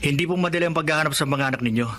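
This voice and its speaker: An actor playing a dutiful, apologetic police chief, polite and official, in a Tagalog radio drama. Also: male voice, on the high side, racing, a bit bright, a little hiss or hum, lightly acted. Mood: apologetic, firm.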